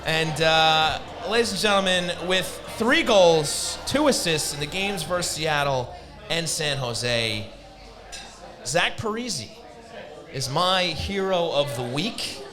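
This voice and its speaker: A man's voice: on the high side, expressive, slow pace. A man hosting a live sports show, addressing the audience like an announcer as he reads sponsor plugs and segments. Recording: loud music behind the voice. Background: loud music.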